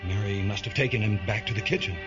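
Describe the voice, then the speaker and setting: A man's voice: lowish voice, fast talker, lightly acted. An actor playing a desperate, hunted man in a science fiction radio drama, with an urgent, agitated delivery. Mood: anxious hope. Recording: dull radio drama mix with music.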